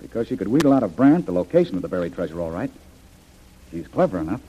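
An actor playing a decisive, troubled adventurer man with a husky voice in an old-time radio adventure. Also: low voice, very animated, fast talker, dark tone, a little hiss or hum. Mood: wary. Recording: dull old radio recording.

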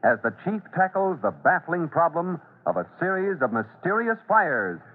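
A man's voice: on the high side, sing-song, normal speed, breathy edge. A man narrating as the announcer of a dramatic old-time radio serial. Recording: tinny old radio recording.

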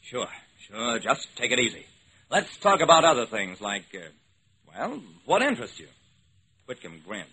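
A man's voice: medium pitch, big pitch swings, moderate pace, pauses often, pushed and bright, husky, exaggerated voice. An actor playing a wry, level-headed investigator, narrating his case in a clipped, matter-of-fact way in an old-time radio drama. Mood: soothing, searching. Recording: noisy old radio recording.

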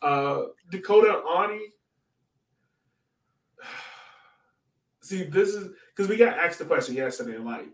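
A man co-hosting a sports podcast, chatting casually about soccer in an informal, joking way. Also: fairly high pitch. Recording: roomy echo, distant from mic.

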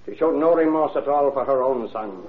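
An actor playing an earnest prosecutor summing up to a jury in methodical, formal oratory, in 1930s radio style. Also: male voice, mid-range voice, a little fast, phone-quality sound, natural voice. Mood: emphatic, accusing. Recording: band-limited old radio broadcast.

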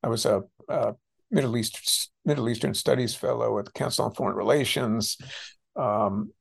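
An older American man, a scholar being interviewed, speaks reflectively and haltingly, often circling back over his phrases. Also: medium pitch, vocal fry, husky. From United States. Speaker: interviewed author, scholar and former policy official.

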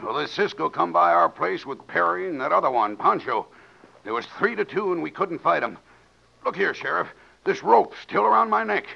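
An actor playing a slippery, taunting cowboy villain in an old radio western, with an American accent and folksy phrasing. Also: male voice, a little high, expressive, normal speed, gravelly, exaggerated voice. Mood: slyly complaining. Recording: noisy, muffled vintage radio recording.

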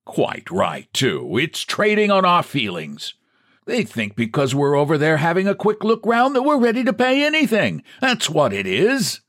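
A man's voice: fairly high pitch, wildly swooping pitch, normal speed, a bit bright, voice pushed up, exaggerated voice. A man voicing a frail elderly man who talks in a thin, piping, quavering way. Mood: indignant.

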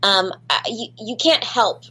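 A woman's voice: mid-range voice, animated, a little slow, bright, forceful tone, breathy edge, low-quality recording. A woman guest in an interview, chatting casually and laughing at herself, with lots of ums and restarts. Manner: chatty, self-deprecating, many fillers and restarts. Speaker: voice actress guest.

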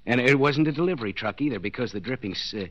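Desperate jealous husband, wildly swinging voice. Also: male voice, medium pitch, lively intonation, fairly bright tone, dull audio. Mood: bitter, tense. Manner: intense, halting, repeating words in mounting panic. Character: desperate, jealous husband, a clock repairman trapped bound in cellar. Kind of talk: 1940s radio suspense acting, inner monologue. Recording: noisy vintage 1940s radio recording.

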